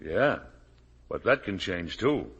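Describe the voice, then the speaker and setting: A man's voice: sits low, sing-song, slow, fairly bright tone, breathy edge, dull audio, full character voice. An actor with an American accent playing a genial, blustering older businessman and father in a 1950 radio drama. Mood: earnest.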